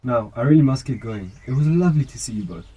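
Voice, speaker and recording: A dutiful, caring police officer, played by a man in an amateur radio play. The voice is very dark and relaxed, slightly breathy, at an average male pitch. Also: quick pace. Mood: kindly. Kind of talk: amateur radio drama acting.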